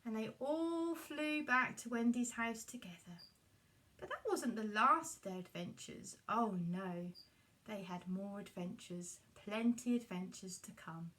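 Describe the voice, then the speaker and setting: A woman telling a children's puppet story. Her voice is high and bright, with animated delivery, recorded at some distance from the microphone. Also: a little slow.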